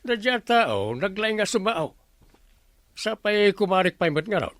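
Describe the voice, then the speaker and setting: A man's voice: high voice, big pitch swings, a little slow, fairly bright tone, no echo, full character voice. A man with an Ilocano accent playing a chatty, scheming village captain in a radio comedy drama. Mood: puzzled, indignant.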